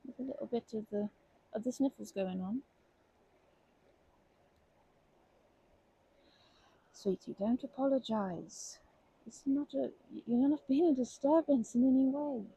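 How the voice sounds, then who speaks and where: Woman acting a creaky, husky kindly grandma. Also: high voice, full character voice, poor audio. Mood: gentle concern. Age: older. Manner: gentle, hesitant, soothing, uses pet names. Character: kindly, chatty, protective grandma comforting a crying stranger. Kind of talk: ASMR comfort roleplay.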